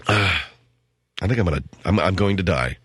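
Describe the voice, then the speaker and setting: A man's voice: deep voice, normal speed, a bit bright, breathy edge, no room sound. An American man hosting casual, rambling talk radio with a wry, grumbling, sardonic manner. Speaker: radio talk show host. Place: radio studio. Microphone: close studio microphone.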